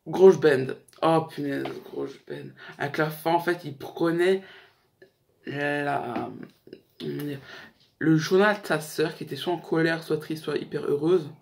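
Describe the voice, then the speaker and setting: A young man with a slightly high voice chats casually as a YouTube host running through a list. His talk is slangy and joking, and he rambles as he goes. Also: steady tone, takes it slowly, gravelly, darkish tone, a little hiss or hum. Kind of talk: casual chat to camera, countdown list.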